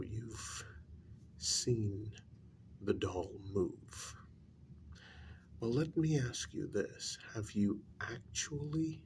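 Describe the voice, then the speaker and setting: A man playing a grave, reluctant spiritualist in a soft-spoken ASMR role-play, talking softly and deliberately with long hesitant pauses. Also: slight background noise. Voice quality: soft, low, creaky voice, breathy in places. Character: grave, reluctant male spiritualist breaking news to a client.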